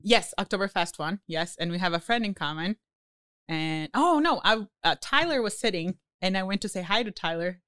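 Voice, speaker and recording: A woman's voice: mid-range voice, moderate pace, dry recording, studio quality. A woman from Brazil with a Brazilian accent, chatting as a podcast guest, laughing often and acting out quotes. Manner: laughs often, animated quoting. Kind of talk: casual chat.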